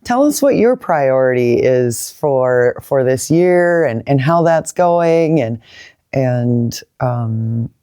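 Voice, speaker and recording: A woman with a very deep, mellow voice leads a campus conversation. She is encouraging and tends to repeat words as she sets up each question. The sound is dry and close. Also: animated, slow pace, no room sound. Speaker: university president and interviewer. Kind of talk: campus interview.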